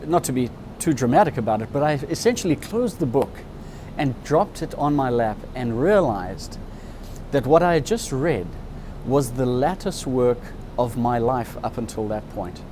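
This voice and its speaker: A candid man in his fifties recounts his past in a thoughtful, earnest way. His voice sits at an ordinary middle pitch. A steady rumble of outdoor traffic hums clearly behind him. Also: moderate pace. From Zimbabwe. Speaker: interviewee, subscriber. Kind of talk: personal storytelling in an interview.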